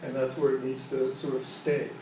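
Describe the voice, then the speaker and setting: A man's voice: mid-range voice, level tone, moderate pace, a little mellow. A man presenting at a workshop, leading an open group discussion and often restarting his sentences. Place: conference room, echoey. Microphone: room mic from a distance. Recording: echoey room, distant mic, faint noise.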